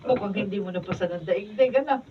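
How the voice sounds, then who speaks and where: A woman's voice: mid-range voice, fast, lightly acted. A woman acting an encouraging, devout wife and friend in a Filipino radio drama. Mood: teasing, encouraging. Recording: distant, muffled, noisy studio camera audio.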